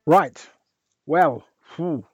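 A man hosting a music podcast, hoarse and husky from a sore throat, rambling with restarts. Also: on the high side, extremely slow, no echo, clean studio sound. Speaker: podcast host. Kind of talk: casual podcast chat.

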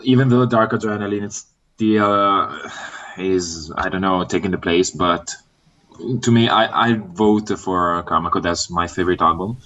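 A very low-voiced man whose first language is Italian talks casually as a guest fan. He speaks in halting English, pausing often to search for words. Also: a bit bright, dull audio. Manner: hesitant, many "uh" fillers. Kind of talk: casual podcast chat.

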